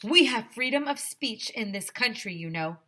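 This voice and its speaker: Indignant storybook ice cream man, played by a woman: defiant, calling out, pitched up, forceful. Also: lively intonation, normal speed. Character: defiant male ice cream truck driver. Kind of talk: children's storybook read-aloud.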